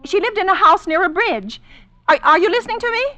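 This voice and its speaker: A high, sharp-edged voice playing a demanding, nervous invalid wife. She stammers in agitation and gives orders imperiously, in the heightened manner of a 1940s radio thriller. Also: animated, fast talker, full character voice. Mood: agitated. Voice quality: tense, shrill. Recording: vintage 1940s radio broadcast.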